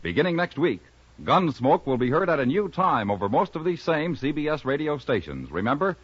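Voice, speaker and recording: A man's voice: fairly high pitch, sing-song, brisk, a bit bright, slightly rough, no echo. A man with an American accent reading formal, emphatic announcements as a 1950s radio announcer. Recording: dull vintage 1950s radio recording. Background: music.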